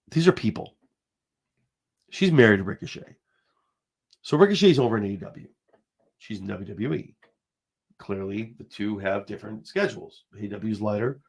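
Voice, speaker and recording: A man in his forties hosts a casual livestream, his voice sitting a little low and stuffed up from a slight cold. He rambles and pushes his points hard, talking straight to his viewers. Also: breathy edge. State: has a cold, sniffling. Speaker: show host. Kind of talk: casual wrestling commentary livestream.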